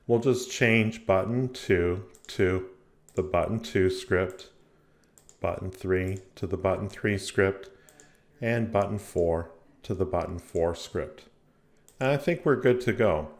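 A man with a fairly low voice narrates a screencast tutorial. He explains each step methodically, as a host guiding learners through software. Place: indoor voice-over recording for a screen capture.

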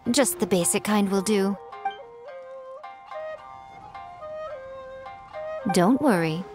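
Woman doing a sly fox shrine lady from a video game, measured n teasing, music behind. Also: mid-range voice, animated, natural voice. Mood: composed, teasing. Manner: measured, self-assured, teasing. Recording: studio voice over game music.

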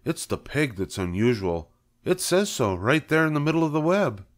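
A man's voice: mid-range voice, sing-song, normal speed, pitched above the performer's own voice, natural voice. A man voicing an earnest, solemn farmer in a storybook, awestruck and emphatic. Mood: insistent.

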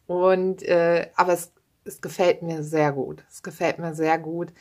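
Chatty woman, slow and low. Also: flat delivery, darkish tone. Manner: chatty, many fillers and tag questions, self-deprecating laughs. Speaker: book vlogger. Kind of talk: casual book chat. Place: home room with bookshelves, indoors.

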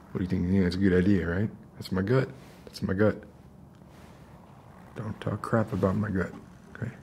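A man in his thirties doing an ASMR roleplay as an unstable, friendly loner, soft-spoken and rambling in halting mutters. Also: low voice, fairly even intonation, noisy background. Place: basement-like room with concrete walls.

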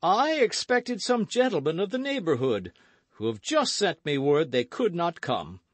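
A man's voice: a little high, sing-song, measured pace, no room sound, voice pushed up. A man voicing a boastful, hearty gourmand musketeer with a grand, exclamatory delivery in a storybook reading. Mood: lordly, hospitable.

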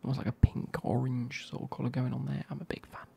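A man, reviewing football kits in a soft, casual whisper with wry asides. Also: mid-range voice, level tone, quick pace, vocal fry, husky.

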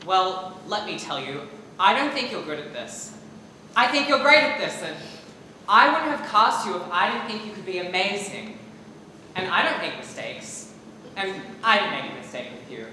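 A young man on stage playing an earnest, encouraging male drama teacher in a high school play, recorded from the audience. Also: sing-song, normal speed, exaggerated voice. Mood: reassuring. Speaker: high school student actor. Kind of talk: amateur stage play acting. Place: theater stage in large auditorium. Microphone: far camera in audience. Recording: echoey auditorium, distant camera, loud room noise.

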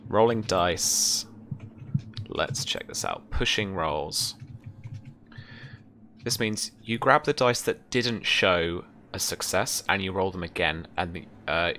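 Deep, bright-voiced young man as game master. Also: slow pace, slight background noise. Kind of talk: casual rules explanation and game narration.

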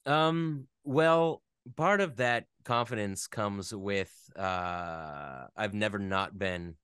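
Smooth, low-voiced man in his thirties. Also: slow, a bit bright, no room sound, studio quality. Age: 30s. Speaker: interview guest, voice actor. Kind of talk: casual interview chat.

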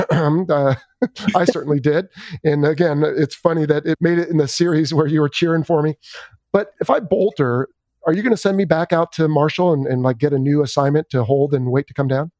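A man's voice: fairly high pitch, moderate pace, a little mellow, dry recording, studio quality. A man hosting a podcast interview in a casual, wry, conversational way, talking like a former military pilot. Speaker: podcast host, former Navy fighter pilot.

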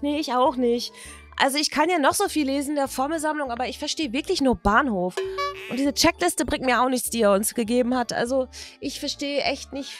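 A woman's voice: high voice, natural voice. A woman voicing chatty, dramatic teenage schoolgirls in improvised doll-play storytelling, with exaggerated teen slang. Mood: resigned.

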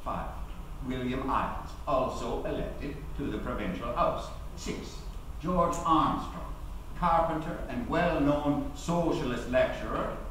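A middle-aged actor playing a proud, eloquent male labour activist recalling his trial, speaking in formal, deliberate theatrical oratory with emphatic pauses. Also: mid-range voice, a bit bright. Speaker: actor giving a dramatic reading. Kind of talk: theatrical courtroom-style oratory at a lectern. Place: large auditorium, at a lectern. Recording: very echoey hall, distant mic, faint noise.

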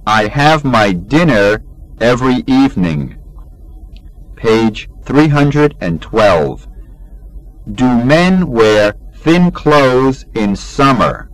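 A man reading an English textbook lesson aloud in a measured, deliberate teaching manner, enunciating each drill sentence. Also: mid-range voice, dry recording, rough recording.

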